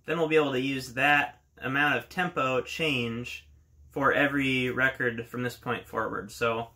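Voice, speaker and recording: Male, thirties, bright forward voice, casual hobbyist host explaining things plainly, small indoor room. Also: medium pitch, steady tone, a little slow. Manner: explains step by step in plain words. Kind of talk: casual hands-on tutorial talk.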